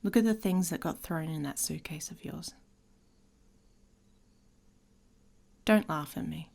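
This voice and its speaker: Woman playing a husky, whispery, taunting she-wolf. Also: sits low, moderate pace, darkish tone. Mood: defensive, irritated. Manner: teasing, sardonic, often dropping into whispers. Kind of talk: intimate ASMR roleplay.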